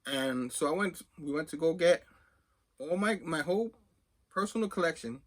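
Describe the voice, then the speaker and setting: A man's voice: fairly high pitch, expressive, moderate pace. A middle-aged man telling a personal story casually, rambling conversationally and acting out bits of remembered dialogue. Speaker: host of a comics collecting video channel.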